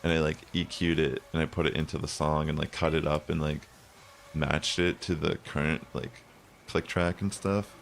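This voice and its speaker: A young man with a very low, bassy voice chatting loosely as a streamer, rambling with lots of fillers. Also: creaky, a little hiss or hum. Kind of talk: casual chat while gaming. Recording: faint music behind.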